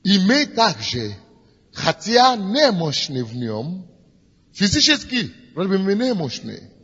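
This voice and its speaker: A man's voice: high-pitched, fairly bright tone, husky. A man preaching. He grows fervent, repeats his phrases and leads the people in prayer. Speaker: pastor. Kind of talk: sermon leading prayer. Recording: dull lo-fi recording in a reverberant hall with music.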